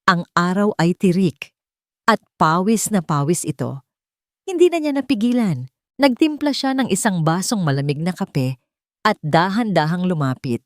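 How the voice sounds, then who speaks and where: A woman narrating a romance drama story in an expressive, dramatic way. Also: lowish voice, normal speed, no room sound, clean studio sound.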